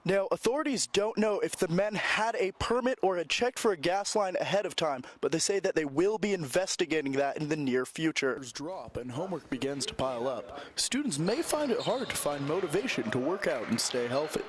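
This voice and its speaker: A man's voice: a little high, expressive, a little fast, husky. A young man reporting as a student TV journalist, reading scripted news with an emphatic broadcast delivery. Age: young adult.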